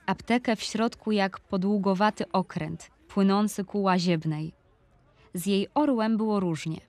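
A woman's voice: mid-range voice, steady tone, measured pace, darkish tone, dry recording, natural voice. A woman reading a literary memoir in an audio drama, reminiscing about her hometown and youth.

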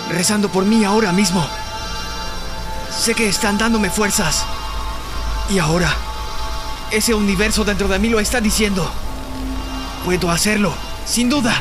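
A high-pitched, brave teenage boy hero acted by a man with a Latin American accent, in an anime dub. He is stubborn and loyal, speaks at an unhurried pace, and music plays clearly behind him. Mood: determined, breathy. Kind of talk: anime fan dub acting in Spanish.

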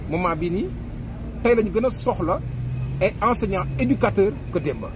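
A middle-aged man with a Senegalese accent giving his opinions in a street interview, animated and talking with his hands, recorded close on a handheld mic outdoors by a busy road. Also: sits high, moderate pace. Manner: animated, gestures with hands, mixes two languages. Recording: street traffic noise behind.

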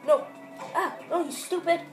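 A woman giving excitable let's-play commentary on a video game while sick and losing her voice. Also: very high-pitched, normal speed, over music. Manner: excitable, exclaims often. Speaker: gameplay commentator.

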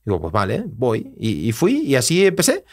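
Animated Argentine man in his thirties. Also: mid-range voice, normal speed. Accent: Argentine Spanish. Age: 30s. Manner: animated storyteller, gestures while talking, acts out quoted dialogue. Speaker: podcast guest. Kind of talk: casual storytelling interview. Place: small studio with acoustic panels. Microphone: dynamic mic on desk stand, headphones.

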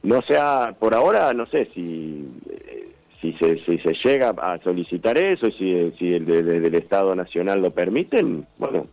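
A muffled phone line carries the low voice of a middle-aged Argentine man. He speaks as a club representative being interviewed on the radio, explaining things in a conversational way. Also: very animated, normal speed, creaky. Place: phone call to a radio studio. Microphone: telephone.

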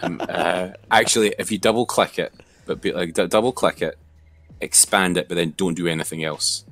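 A man talking someone through software step by step in an informal, hesitant way, thinking aloud. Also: sits low, moderate pace. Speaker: software tutor. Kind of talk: informal step-by-step screen tutorial.

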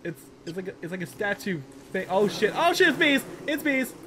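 A man chatting casually with a friend while gaming, excitable and quick to exclaim and swear. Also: high-pitched, noisy background. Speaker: gamer playing in co-op. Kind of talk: casual gameplay chat with a friend.

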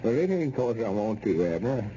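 A man's voice: mid-range voice, big pitch swings, brisk, warm tone, close to an everyday voice. An actor playing a stubborn, honest older country man with a rural Southern American accent, folksy, in 1930s radio comedy style. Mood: resigned, stubborn. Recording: dull, noisy old radio recording.

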